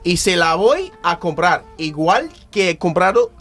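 A man's voice: sits high, animated, unhurried, fairly bright tone, full character voice. An actor from playing a grumpy, greedy, domineering rich businessman in a radio play, scornful and emphatic. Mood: pushy, boastful. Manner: scornful, emphatic, repeats words for effect. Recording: music under the voice.

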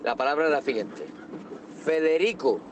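A man caller leaving a deadpan joke message for a radio show. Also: on the high side, moderate pace, muffled recording, noisy background. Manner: deadpan joking. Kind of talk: joking voice message.

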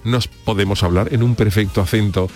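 A man's voice: fairly low pitch, fairly quick, faint music behind. A man with an Andalusian accent from Cádiz, talking with passion as a guest on a radio chat show. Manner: animated, emphatic, argues with passion. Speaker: guest commentator.

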